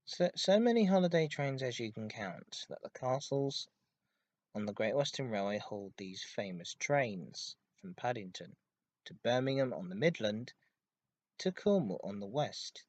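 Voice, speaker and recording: A man presents an informative enthusiast podcast by himself. His voice sits at a medium pitch for a man. He speaks in a halting way, putting stress on his intensifiers as he explains the history. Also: lively intonation, a little mellow, no echo. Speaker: podcast host. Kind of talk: informative enthusiast monologue about railway history.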